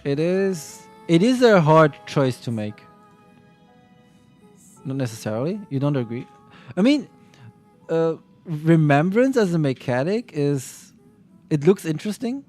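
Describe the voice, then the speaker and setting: A man with a somewhat high voice chats casually on a livestream about a game. He rambles, restarting and correcting himself mid-sentence. Soft music plays quietly behind him. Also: big pitch swings, a little slow, pauses often. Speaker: streamer.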